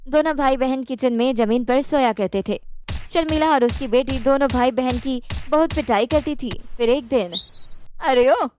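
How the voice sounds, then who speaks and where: A woman's voice: sits high, moderate pace, a little mellow, faint music behind. A woman reading scripted narration for a children's moral story.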